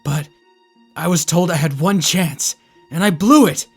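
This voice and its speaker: Woman playing young male hedgehog, low raspy voice, despondent, self-doubting, earnest, music underneath. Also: unhurried. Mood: dejected, upset. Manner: dejected, hesitant. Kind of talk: fan audio drama acting.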